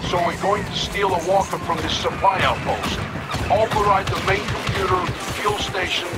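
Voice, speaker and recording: A man's voice: high-pitched, fairly even intonation, moderate pace, loud music behind the voice, close to an everyday voice. A man playing a determined, weary rebel major in a video game, giving urgent, clipped military briefings. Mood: urgent, resolute. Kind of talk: scripted game mission briefing.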